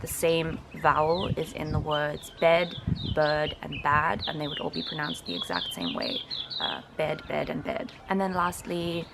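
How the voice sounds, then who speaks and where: Low, creaky-voiced young South African woman. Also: lively intonation, measured pace, very noisy. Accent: South African English. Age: 20s. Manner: informal, demonstrates vowel sounds as examples. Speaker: linguistics student presenting a reaction video. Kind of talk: casual explanatory talk to camera.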